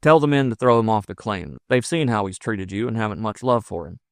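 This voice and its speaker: A man voicing a belligerent but ardent gold-rush miner in an audiobook, forceful, emphatic and coaxing. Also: fairly low pitch, expressive, fairly quick, smooth, no room sound, studio quality. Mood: reassuring, determined. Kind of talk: audiobook character dialogue.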